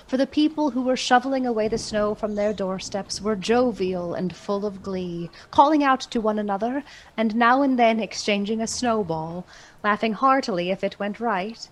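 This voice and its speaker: A woman narrator reading a scripted story for a radio play in a measured, theatrical storytelling manner. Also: medium pitch, slight background noise, low-quality recording.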